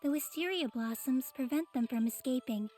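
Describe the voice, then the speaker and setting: A serene, solemn little girl, formal and courteous. Her high voice is mellow and calm, like a ceremonial guide in an anime game. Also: normal speed, soft background music.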